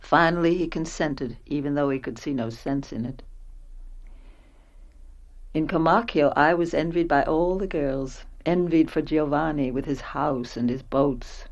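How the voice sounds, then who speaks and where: A woman's voice: sits low, a little slow. A woman acting a self-possessed wife who narrates her past in a radio play. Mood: reflective, confessional. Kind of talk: dramatic scripted first-person narration.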